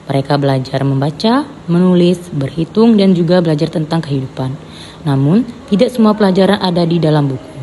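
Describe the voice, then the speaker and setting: A young woman calmly narrating a student drama in storybook style. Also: fairly low pitch, slight background noise. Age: young adult. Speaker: narrator and teacher in a student film.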